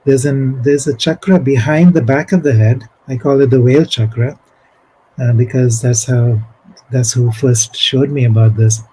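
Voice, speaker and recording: A man presenting at an online spiritual conference, explaining ideas in a slow, measured way over a video call. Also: medium pitch, mellow, husky, poor audio. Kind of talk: spiritual talk.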